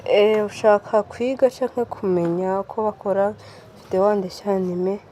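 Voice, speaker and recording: Mellow female Burundian tutorial voice. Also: medium pitch, steady tone, moderate pace, slight background noise. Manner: repeats words and steps. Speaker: tutorial presenter. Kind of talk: step-by-step app tutorial.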